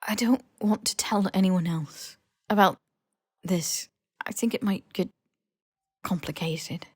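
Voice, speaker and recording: A woman's voice: mid-range voice, slow pace, breathy edge, no echo, studio quality. A woman playing a guilt-ridden, defensive young male wizard hero in a story reading. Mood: hesitant.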